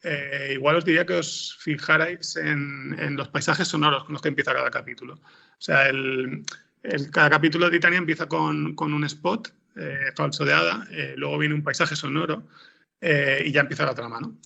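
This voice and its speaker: A man's voice: on the high side, steady tone, normal speed, gravelly, bright, forceful tone, highs cut off. A man with a Spanish accent from Spain giving casual interview answers, hesitantly searching for his words. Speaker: interview guest, audio fiction screenwriter.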